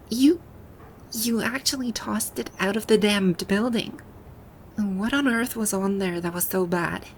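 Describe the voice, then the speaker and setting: A woman's voice: lowish voice, gravelly, a little hiss or hum, low-quality recording. A woman playing a haughty, easily flustered female final-boss villain from a video game in an ASMR roleplay, with an imperious, indignant manner. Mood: flustered, demanding. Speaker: voice actor.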